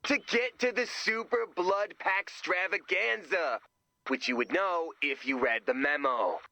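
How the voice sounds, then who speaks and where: High-voiced eager sidekick guy. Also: a little slow, sharp tone, no room sound, exaggerated voice. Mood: smug, gleeful. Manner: eager, smug, comic. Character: eager, smug, nerdy young male sidekick. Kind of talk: animated video game voice acting.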